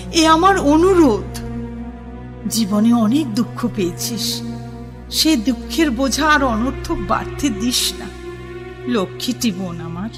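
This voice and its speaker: A firm, protective older sister figure, her high voice swooping widely as she warns her friend in a slow, deliberate radio play delivery. She presses each point with care, turning gentle and pleading by the end. Music plays under her lines. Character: stern older colleague sister, protective woman. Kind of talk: radio drama acting.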